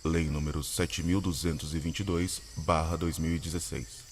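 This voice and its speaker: A man's voice: a bass voice, flat delivery, normal speed, a little hiss or hum. A Brazilian man delivering formal scripted announcements as an announcer.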